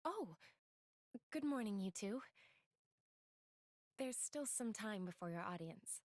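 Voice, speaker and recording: Serene, courteous guide, dry sound. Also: female voice, mid-range voice, expressive, slow, dry recording. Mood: wistful. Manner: formal, courteous, composed. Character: polite, serene, ancient female guide. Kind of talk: video game cutscene voice acting.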